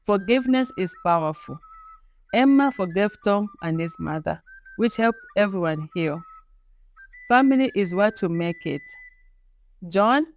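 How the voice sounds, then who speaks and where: A woman's voice: medium pitch, lively intonation, a little slow, long pauses, a little hiss or hum. A woman storyteller reading a scripted folktale in a plain, simple way, in short sentences.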